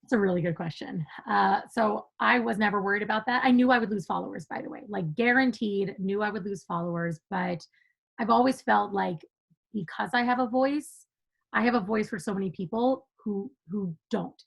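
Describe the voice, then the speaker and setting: A woman's voice: mid-range voice, moderate pace, vocal fry, slightly rough, poor audio. A woman guest on a podcast, speaking with passion and emphasis in a conversational interview, laughing now and then.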